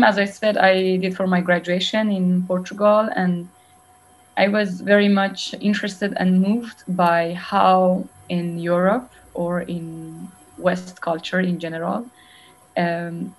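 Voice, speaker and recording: Thoughtful Iranian woman filmmaker, low voice. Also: slight background noise, poor audio. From Iran. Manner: thoughtful, measured, frequent pauses and restarts. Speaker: documentary filmmaker guest. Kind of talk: reflective interview answers.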